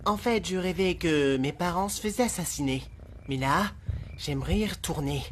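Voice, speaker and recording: A woman's voice: fairly low pitch, normal speed, a little hiss or hum, lightly acted. A woman voicing an uptight, exasperated male demon husband in a cartoon dub, prim and indignant. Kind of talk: animated cartoon dubbing.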